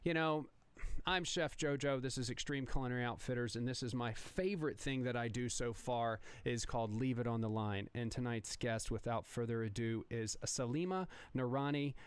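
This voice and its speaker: A man hosting a casual podcast interview as a chef, talking in an animated, rambling way with many restarts. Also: medium pitch, moderate pace, clean tone, no echo.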